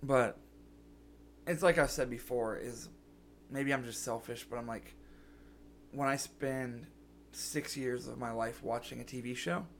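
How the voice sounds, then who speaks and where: Gravelly-voiced man, sick and scratchy. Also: mid-range voice, a little slow, long pauses, a bit bright. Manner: rambling, lots of "like" and restarts. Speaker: podcast co-host. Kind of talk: casual chat.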